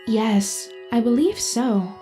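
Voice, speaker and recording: A composed female AI companion, played by a woman, narrates an audio drama. The voice is soft-edged and mellow, with music playing behind it. Every sentence is formal, precise and measured, as if delivering an analysis. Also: on the high side, animated. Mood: calm, analytical. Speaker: narrator and in-character host.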